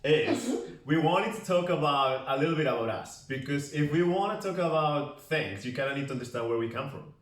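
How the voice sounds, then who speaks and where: A man with a Spanish accent chats playfully, giggling and pausing on hesitant fillers. He sits back from the mic in a roomy space that gives his voice an echo. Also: on the high side, expressive, a bit bright, distant mic. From Basque Country, Spain. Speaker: podcast co-host. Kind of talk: casual chat.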